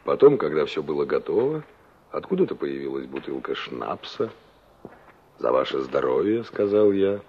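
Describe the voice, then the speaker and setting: A man's voice: very deep voice, normal speed, breathy edge, heavily acted. An actor playing a young engineer who narrates his memories dramatically in a Soviet radio play. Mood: nostalgic, animated. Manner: animated, reminiscing. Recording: dull 1960s radio play recording.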